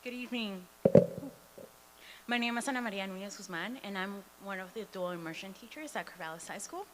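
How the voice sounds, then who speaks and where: A woman's voice: mid-range voice, fairly quick, a bit bright, poor audio. A woman in her twenties whose first language is Spanish, a teacher reading a prepared public comment with occasional fillers at a school board meeting. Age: 20s. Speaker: dual immersion teacher.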